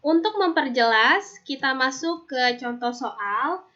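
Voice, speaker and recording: A woman teacher from Indonesia explaining math step by step in a recorded online lesson, patiently slowing down on formulas. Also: sits high, expressive, sharp tone, lo-fi sound. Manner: patient, steps through formulas aloud, slows on terms.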